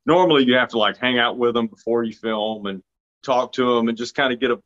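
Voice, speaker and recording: A man's voice: mid-range voice, a little fast, a bit bright. A man from Arkansas, an actor being interviewed, talking casually with frequent restarts and self-deprecating remarks. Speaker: actor guest. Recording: dull lo-fi sound.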